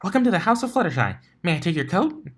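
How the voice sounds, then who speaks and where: A man's voice: high-pitched, sing-song, fast, breathy edge, voice pushed up, full character voice. A man voicing a hyperactive, bubbly young female cartoon pony with a gushing, chatty manner. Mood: bubbly, eager.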